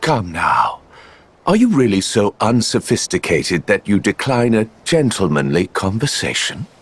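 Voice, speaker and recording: An actor playing a suave, calculating gambler villain in a video game, with a genteel, smugly theatrical manner. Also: male voice, fairly low pitch, sing-song, measured pace, slightly rough, exaggerated voice. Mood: suave, playful. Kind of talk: video game cutscene acting.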